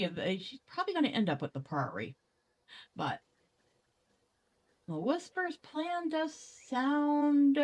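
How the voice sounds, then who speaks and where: A woman's voice: mid-range voice, husky. A woman giving casual game commentary, chatty and playful as she thinks aloud. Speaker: gaming video host.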